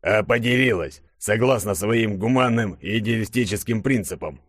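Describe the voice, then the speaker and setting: A man's voice: lowish voice, moderate pace, no echo, clean studio sound, pitched above the performer's own voice, close to an everyday voice. A man voicing a stern, irritable boss with a formal, commanding manner in an audiobook. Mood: pointed.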